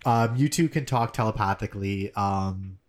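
A man's voice: on the low side, normal speed. A man co-hosting a podcast, telling stories eagerly with stammered restarts and casual swearing. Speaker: podcast co-host. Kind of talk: casual chat.

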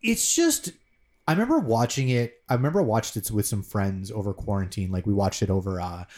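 A man co-hosting a podcast, chatting casually about movies in a rambling, excitable way. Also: lowish voice, a little fast. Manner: rambling, excitable, many fillers and restarts. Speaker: podcast co-host.

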